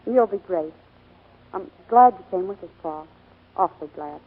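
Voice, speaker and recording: A woman's voice: fairly low pitch, animated, normal speed. An actress playing a friendly, teasing show-troupe woman in 1940s radio drama style. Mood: fond, teasing. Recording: tinny, noisy 1940s radio broadcast.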